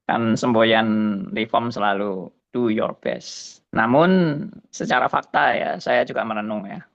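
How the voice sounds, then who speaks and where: Old pastor guy in his 70s, gravelly voice, muses along and keeps saying ya, muffled lo-fi sound. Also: on the low side, a little slow, fairly bright tone. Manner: musing, tags phrases with "ya". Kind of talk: reflective spoken testimony.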